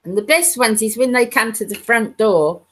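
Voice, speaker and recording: A woman from the East End of London with a strong East End accent, chatting casually and livelily. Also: moderate pace. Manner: lively, mimics others with high voices. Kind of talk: casual chat.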